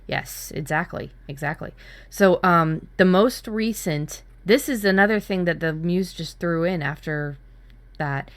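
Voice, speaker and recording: A woman talking casually as a writing workshop co-host, retelling her ideas in an informal, expressive way. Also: fairly low pitch, measured pace. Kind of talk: casual workshop chat, recounting own brainstorm.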